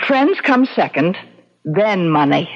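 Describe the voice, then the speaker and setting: A woman's voice: fairly low pitch, big pitch swings, slow, exaggerated voice. An actress playing a well-off, flighty, effusive lady of the house in a 1970s radio drama, breezy and exclamatory. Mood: merry, breezy. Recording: dull vintage radio broadcast recording.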